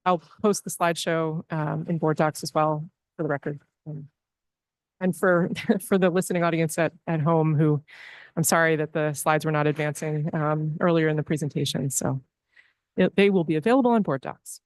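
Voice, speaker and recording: A woman's voice: sits low, fairly even intonation, normal speed, dry recording. A woman presenting a report as library director at a board meeting, earnest, with frequent ums and restarts. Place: public meeting room.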